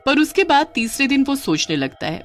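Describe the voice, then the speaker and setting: A woman's voice: sits high, big pitch swings, brisk, soft background music. A woman narrating a scripted story as a storyteller.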